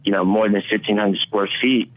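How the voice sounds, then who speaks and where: A man whose first language is Spanish, a mortgage loan officer calling into a radio show and speaking haltingly with many fillers. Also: on the low side, steady tone. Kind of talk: casual radio interview. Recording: tinny phone line.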